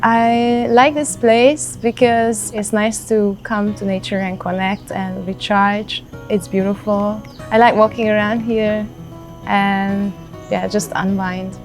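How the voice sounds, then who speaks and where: A woman in her thirties speaks with a Hungarian accent at a medium pitch. She sounds reflective and takes her time, as if in a portrait interview. Light music plays behind her voice. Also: fairly even intonation, takes it slowly. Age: 30s. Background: background music.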